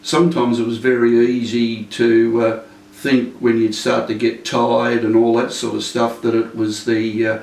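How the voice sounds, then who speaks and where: Older man, flat and rambling. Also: medium pitch, a little slow, creaky. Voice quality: loud voice. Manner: rambling, many fillers and restarts. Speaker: interviewee. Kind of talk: casual interview. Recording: echoey room, mic at a distance.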